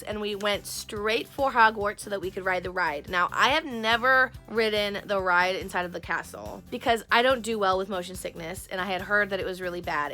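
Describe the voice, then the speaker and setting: A woman vlogger telling a story in a chatty way, gushing and excitable, her voice hoarse from losing it. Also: medium pitch.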